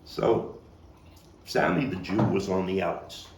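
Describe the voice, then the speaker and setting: Guy doing a wry brooklyn street tough telling gang stories onstage, gravelly, echoey room, bit of noise. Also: low-pitched, steady tone. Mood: earnest, gritty. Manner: dramatic storytelling with pauses and emphatic swells. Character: streetwise Brooklyn man reminiscing about his boyhood gang, coarse and wry. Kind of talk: theatrical monologue, table read. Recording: roomy, distant mic, faint noise.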